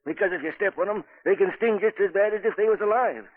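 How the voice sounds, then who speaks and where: An actor playing a friendly, folksy old American drunkard, rambling and forgetful, in 1940s radio drama. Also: male voice, sits high, fast. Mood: rambling, amused. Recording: muffled vintage 1940s radio recording.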